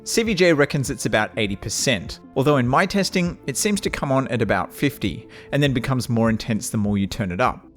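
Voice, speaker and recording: A man with a nasal voice, giving a measured, wry scripted review of audio gear. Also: medium pitch, expressive, soft background music. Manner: measured, wry and matter-of-fact. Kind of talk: scripted product review narration.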